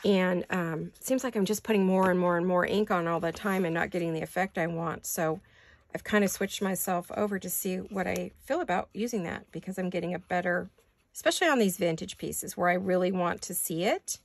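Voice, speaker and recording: An older woman with a raspy voice chatting casually in her home craft studio, rambling and thinking aloud as she works. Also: lowish voice, brisk. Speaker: craft vlogger. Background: neighborhood garbage-day banging.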